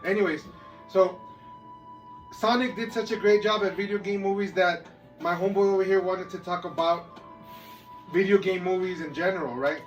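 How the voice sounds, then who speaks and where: A man's voice: high voice, steady tone, normal speed, pauses often, highs cut off. A man co-hosting a podcast, bantering casually and unscripted with slangy hype-man talk. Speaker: podcast co-host. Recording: far from the mic, music behind.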